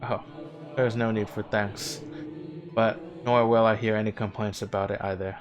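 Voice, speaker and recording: Guy doing an ancient ghost man from a game, kinda low voice, formal n measured, gently knowing, bit of music under it. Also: level tone, close to an everyday voice. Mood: gently wry. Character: departed spirit of an ancient man, courteous, wistful, wry. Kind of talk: scripted video game cutscene acting. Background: background music.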